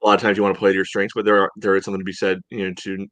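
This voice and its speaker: A man with a fairly low voice co-hosts a casual sports podcast. He breaks things down in a measured, hedging way, leaning often on "you know". The sound is dry, with little room in it. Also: completely flat delivery, a bit bright, no echo. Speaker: podcast co-host. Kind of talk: casual sports analysis chat.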